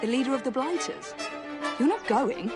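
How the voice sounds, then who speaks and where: An actress playing a brisk, protective young female assassin in period game dialogue, with a British accent. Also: high-pitched, animated. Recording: music behind the voice.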